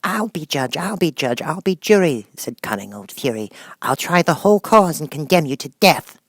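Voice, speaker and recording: A man performs a touchy, gloomy little Mouse from a storybook. The voice is raised high and projected firmly. Words come out measured and declamatory, with a stern, easily wounded air. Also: sing-song, vocal fry, no room sound, clean studio sound, heavily acted. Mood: declaiming.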